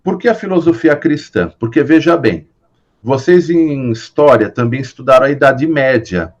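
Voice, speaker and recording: A man's voice: medium pitch, animated, moderate pace, highs cut off. A Brazilian man teaching a philosophy class online, explaining patiently with many fillers and tag questions. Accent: Brazilian Portuguese.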